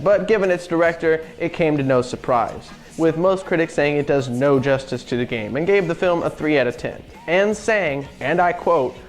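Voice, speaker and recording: A man co-hosting a semi-scripted video review in a sardonic way, as commentary. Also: on the high side. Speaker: co-host. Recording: music behind the voice.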